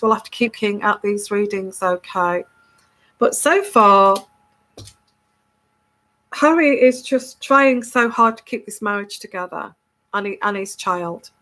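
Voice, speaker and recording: A woman's voice: medium pitch, a bit bright, rough recording. Congested woman chatting to camera. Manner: chatty, keeps tagging "okay?", many restarts. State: congested from a cold. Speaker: tarot reader hosting her channel. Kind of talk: casual solo video monologue.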